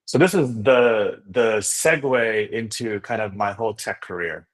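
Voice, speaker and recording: A man with a fairly low voice, from Indonesia and a native speaker of Indonesian. He talks as an interview guest, telling his story in a casual, enthusiastic way and often tagging phrases with a checking word. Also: a little slow. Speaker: podcast guest, startup founder and investor.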